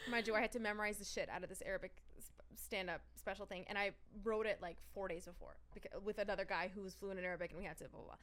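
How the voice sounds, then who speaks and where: A woman's voice: medium pitch, fairly even intonation, racing, a bit bright. A young adult woman stand-up comedian telling stories in a casual podcast chat, swearing, laughing and acting out other people's lines.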